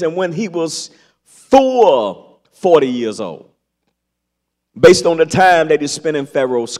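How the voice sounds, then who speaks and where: High voiced man preaching slow, dramatic pauses, big echoey sanctuary. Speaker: pastor. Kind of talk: sermon. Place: large church sanctuary.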